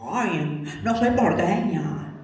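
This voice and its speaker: A woman from Argentina with a Rioplatense accent preaching a sermon in a church, animated and acting out little scenes and prayers in different voices. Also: on the low side, very slow. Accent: Rioplatense Spanish. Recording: echoey church hall.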